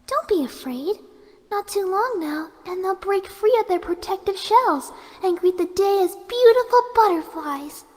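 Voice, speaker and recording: A young female pegasus pony in a horror story reading. The voice is extremely high, soft and pleading, with an unsettling sweetness. It rings in a long hall-like reverb. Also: expressive, unhurried. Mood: gently coaxing. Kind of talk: dramatic horror fan-fiction reading.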